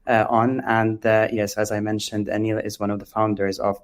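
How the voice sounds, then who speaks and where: The Lebanese-accented male founder you would hear as a guest on an industry interview, hesitant, low and level in pitch. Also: level tone. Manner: hesitant, halting, with frequent long ums. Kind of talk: explanatory interview answers.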